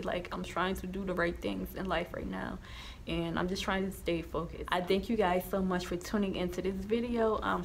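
Young woman w/ slight lisp vlogging at the camera, lots of ums, kinda gravelly. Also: medium pitch, slight background noise. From New Jersey, USA. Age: young adult. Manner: rambling, with many ums and restarts. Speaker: YouTuber sharing her testimony. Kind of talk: casual vlog talk to camera.

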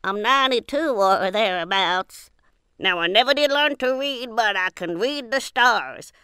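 A cranky old woman of ninety-two who reads the stars. Her comic voice is high, bright and blunt. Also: animated, unhurried, clear, resonant tone, no echo. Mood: chatty. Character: cantankerous, blunt 92-year-old fortune-telling woman. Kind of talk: comic character monologue.

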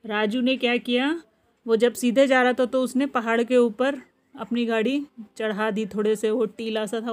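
Slow-paced Indian woman teacher explaining. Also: fairly high pitch, a little mellow. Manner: explanatory, addressing pupils directly. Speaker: school teacher. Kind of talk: lesson explanation, reading out and explaining.

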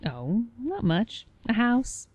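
An actress playing an older, sour small-town office assistant in a radio play, talking in a dry, gossipy way. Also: female voice, a little high, big pitch swings, takes it slowly, darkish tone, no echo, full character voice. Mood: gossipy disdain.

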